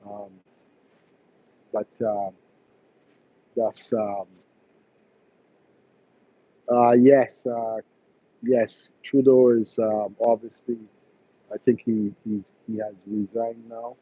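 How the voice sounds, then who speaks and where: A man from Barbados runs a casual live stream, chatting hesitantly with viewers and pausing on many ums and uhs. His voice is low and flat, with little rise and fall, and the lo-fi stream audio sounds dull. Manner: hesitant, many fillers and restarts. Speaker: electrician hosting a live stream.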